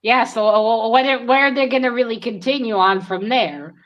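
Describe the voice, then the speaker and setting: A woman's voice: fairly high pitch, brisk, a bit bright. A woman co-hosting a read-along in casual discussion, thinking aloud and speculating with some hesitation. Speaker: co-host of a book read-along.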